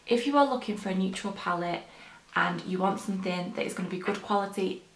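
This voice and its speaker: A young woman chats casually while applying makeup, rambling and filling pauses with ums. Her voice is a little hoarse from the early morning. The sound is roomy and echoey, as in a bare bedroom. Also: medium pitch. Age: young adult. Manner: chatty, rambling, frequent ums. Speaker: beauty vlogger.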